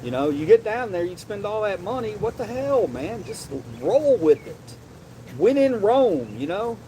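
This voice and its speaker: Animated man chatting with his stream. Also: sits high, measured pace, a little hiss or hum, low-quality recording. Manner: animated, swears casually, says bro and man a lot. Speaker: livestream host reading chat. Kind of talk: casual livestream chat. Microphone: desk mic, sometimes leaning away.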